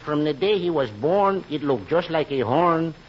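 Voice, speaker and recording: A man playing an easygoing Mexican comic sidekick with a singsong, deliberate delivery in a 1940s radio comedy. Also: a little high, expressive, natural voice. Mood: eager. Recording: noisy, muffled 1940s radio recording.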